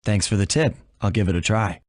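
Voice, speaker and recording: An actor playing a confident young raccoon thief in a video game, casual and unflustered, with an American accent. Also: male voice, fairly low pitch, fairly quick, darkish tone, no echo, clean studio sound, close to an everyday voice.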